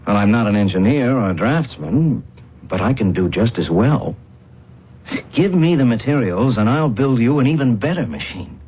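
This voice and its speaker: An actor playing a proud, scheming alien space pilot in a radio drama, speaking in a guarded, formal, measured way. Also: male voice, medium pitch, expressive, husky. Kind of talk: old radio drama acting, narration and dialogue. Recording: muffled radio drama recording with loud music.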